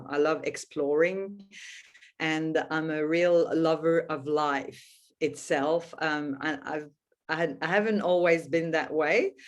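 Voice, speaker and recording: Female podcast guest, middle-aged, native French speaker with Quebec accent; reflective, halting, conversational delivery. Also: deep voice. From Quebec, Canada. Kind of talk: conversational interview answers.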